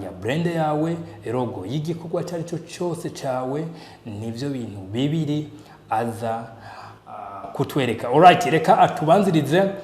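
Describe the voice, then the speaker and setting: A man's voice: mid-range voice, darkish tone, roomy sound, a little hiss or hum. A Burundian man hosting an online show, emphatic and mixing in French and English phrases. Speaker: host of a business channel. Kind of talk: presenting an online show, introducing a guest.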